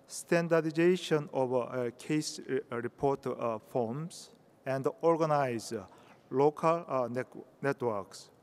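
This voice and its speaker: A man from South Korea with a Korean accent giving a conference talk in English, halting often with hesitations. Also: mid-range voice, darkish tone. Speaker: conference speaker. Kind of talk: conference presentation. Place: conference hall. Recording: reverberant hall.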